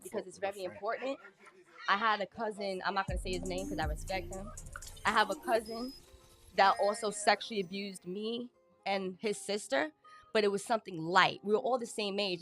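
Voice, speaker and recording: A woman in her thirties telling her life story in an interview, candid and plain-spoken, with halting restarts. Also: medium pitch, steady tone, music in the background. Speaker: guest. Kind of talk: personal testimony in an interview.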